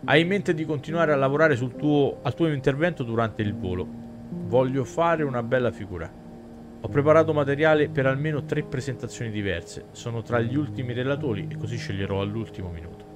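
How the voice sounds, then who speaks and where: A man's voice: medium pitch, expressive, fairly quick. A man in his thirties reading a visual novel aloud on stream in a theatrical storyteller way. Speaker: game streamer. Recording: music clearly behind the voice. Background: game music.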